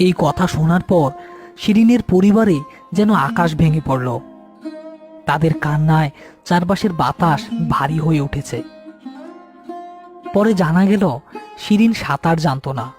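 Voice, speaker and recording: A man's voice: on the high side, unhurried, long pauses, a little mellow. A man narrating a scripted ghost story, with music behind him.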